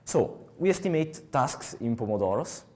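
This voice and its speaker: Italian man giving a halting tech talk. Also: mid-range voice, very animated, a little mellow. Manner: halting, many filler uhs. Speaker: conference speaker. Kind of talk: technical conference talk. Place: large conference hall with audience. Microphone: headset mic.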